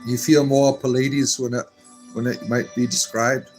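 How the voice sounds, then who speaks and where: Guy on a live stream chatting through viewer comments, mid-pitched voice, rambly, faint music underneath. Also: level tone, measured pace. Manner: reads comments aloud and answers them in a meandering, chatty way. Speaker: livestream host reading viewer comments. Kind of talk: casual live-stream chat.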